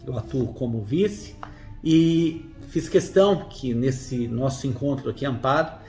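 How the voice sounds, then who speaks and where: A man's voice: medium pitch, unhurried, a little mellow, soft background music. A man, interviewing casually in an effusive, coaxing manner. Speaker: interviewer, show host.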